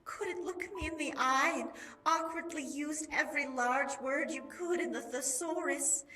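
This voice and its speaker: A woman's voice: sits high, normal speed, sharp tone, far from the mic. A woman playing a lovelorn vaudeville starlet, earnest and melodramatic, in an improvised radio soap opera. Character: lovelorn vaudeville starlet reading her dying love letter.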